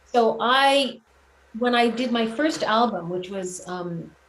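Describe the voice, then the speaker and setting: A middle-aged woman giving reflective, earnest interview answers about her own career, often halting and rephrasing as she goes. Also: a little high, expressive, a bit bright. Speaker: guest, children's music performer and teacher. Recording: roomy echo, clear background noise.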